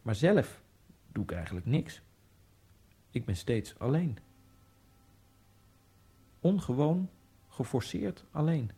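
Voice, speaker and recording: A man's voice: medium pitch, sing-song, takes it slowly, pauses often, dark tone, husky, heavily acted. An actor playing a lonely immigrant man obsessed with speed skating, narrating his thoughts in a wry, brooding radio-drama monologue. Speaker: first-person narrator of a radio play.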